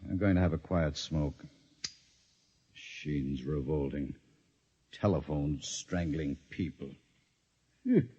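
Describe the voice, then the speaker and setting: A man acting a weary, placating psychiatrist with measured speech in a 1950s radio drama. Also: extremely low pitch, warm tone, slightly rough, full character voice. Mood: weary disbelief. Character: soothing, weary, patronizing male psychiatrist, middle-aged. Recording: dull, hissy 1950s radio recording.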